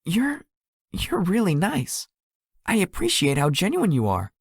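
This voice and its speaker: A woman's voice: lowish voice, huge pitch swings, measured pace, breathy edge, dry recording, studio quality, full character voice. A woman voicing a shy, polite young male tech executive in a visual novel, slightly formal and hesitant in manner. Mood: earnest, mild. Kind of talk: visual novel character dialogue.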